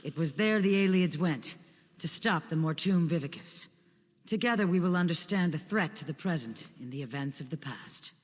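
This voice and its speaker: An actor playing an earnest, courteous scholarly adventurer in a fantasy video game, speaking in measured, formal phrases. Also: male voice, on the high side, animated, in a large hall. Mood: grave, urgent. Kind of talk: video game character dialogue.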